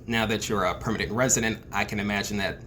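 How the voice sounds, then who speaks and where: A man's voice: fairly low pitch, fairly even intonation, quick pace, a bit bright. A man hosting an interview, asking earnest questions and leaning on the word "just". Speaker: host. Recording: faint background noise.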